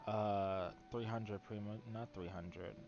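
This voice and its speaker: Low-voiced, laid-back male game streamer. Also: steady tone, takes it slowly, highs cut off, a crowd in the background. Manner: laid-back, thinking aloud. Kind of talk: casual live gameplay commentary. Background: game music.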